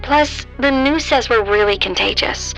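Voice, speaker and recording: A woman's voice: on the high side, a little slow, a bit bright, faint music behind, low-quality recording. An actress playing a sick, worn-out young American woman worried about her family in an audio drama. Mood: weary, worried. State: sick, coughing, exhausted.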